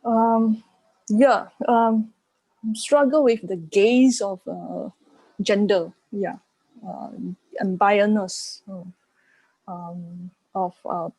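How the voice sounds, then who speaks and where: Slow, mellow-voiced woman, halting. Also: medium pitch, no room sound, rough recording. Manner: hesitant, halting, searching for words. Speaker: panel speaker on art. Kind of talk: unscripted discussion answer.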